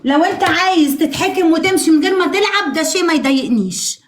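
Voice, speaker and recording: A woman's voice: extremely high pitch, animated, quick pace. A woman with an Egyptian accent in a casual live chat, teasing and insistent in a mock-stern way. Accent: Egyptian Arabic. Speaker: live stream participant.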